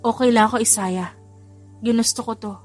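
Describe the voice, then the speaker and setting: A woman's voice: fairly high pitch, steady tone, a little fast, darkish tone, soft background music, close to an everyday voice. A woman with a Filipino accent voicing a playful, affectionate young mother in a romance audiobook. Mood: teasing.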